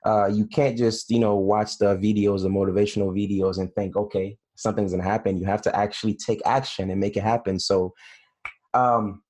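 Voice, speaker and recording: A young man in his late twenties with a fairly low voice hosts a casual talk. He sounds friendly and encouraging, calling his guest "brother" and affirming him often. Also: flat delivery, a little fast, a little mellow. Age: 20s. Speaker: host. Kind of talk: casual interview.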